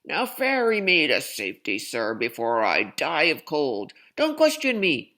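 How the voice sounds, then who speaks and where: A storybook circus boss, acted out by a woman reader, angry and self-important. He barks his demands loudly, with pitch leaping about and a creaky edge. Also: wildly swooping pitch, unhurried, exaggerated voice. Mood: outraged, bossy. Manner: barking, shouted orders.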